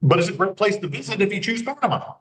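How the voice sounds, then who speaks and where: A man with a slightly high voice talks casually as an interview guest, circling through his points with lots of restarts. He sounds set back from the mic in an echoey space. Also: lively intonation, fast talker, a bit bright. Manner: rambling, restarts phrases often. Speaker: relocation consultant guest. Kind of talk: casual conversation. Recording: roomy sound, mic at a distance.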